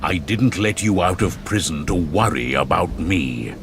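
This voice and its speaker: A man playing a stern, dutiful wounded warrior in a video game, gruff and dry. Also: low voice, expressive, a little slow, music in the background. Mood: stoic, dry. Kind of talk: video game cutscene acting. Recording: loud ambient noise over the voice.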